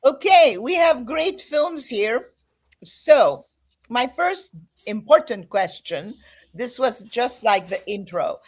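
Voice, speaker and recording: A woman's voice: fairly high pitch, animated, slow pace. A woman hosting an online panel of filmmakers, guiding them with friendly instructions over a video call. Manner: friendly, directive, gives instructions. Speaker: festival host moderating filmmakers.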